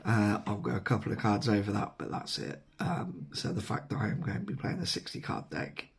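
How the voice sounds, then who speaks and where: A man's voice: fairly low pitch, big pitch swings, fairly quick. A man with an English accent, losing his voice with a sore throat, rambling casually to camera with lots of ums and self-corrections. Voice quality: hoarse. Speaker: vlogger. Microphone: camera mic, seated at camera.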